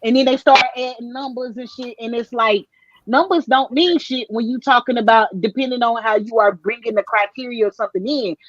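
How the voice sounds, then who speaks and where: A woman's voice: on the high side, a little fast, no echo, lo-fi sound. A woman arguing her point in a casual panel chat, emphatic and slangy, often repeating herself.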